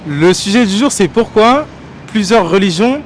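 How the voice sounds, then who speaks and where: A young man hosting a casual street interview on a busy city square, relaxed and chatty with short prompts. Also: high-pitched, moderate pace, soft background music. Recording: faint street traffic noise.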